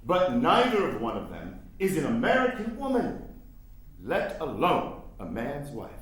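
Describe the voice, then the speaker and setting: In a very echoey room, an actor plays a principled, exasperated 19th-century abolitionist man in a stage drama. His voice runs high for a man and swings widely, with slow, formal, deliberate phrasing. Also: wildly swooping pitch, a bit bright, exaggerated voice. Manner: emphatic, deliberate, formal diction with dramatic pauses. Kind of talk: staged play reading, theatrical dialogue.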